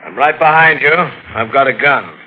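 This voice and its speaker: A hard-nosed homicide detective, played by an actor, giving clipped, stern orders in a middle-pitched voice. The sound is dull and muffled, as in an old radio broadcast. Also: male voice, expressive, normal speed, heavy background noise, close to an everyday voice. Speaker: police detective. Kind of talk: 1940s radio acting.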